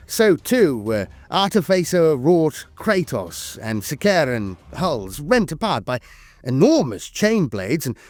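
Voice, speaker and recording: A man's voice: on the high side, no echo. A man reading scripted war lore as a narrator, in a formal, dramatic storytelling manner with the occasional hesitation.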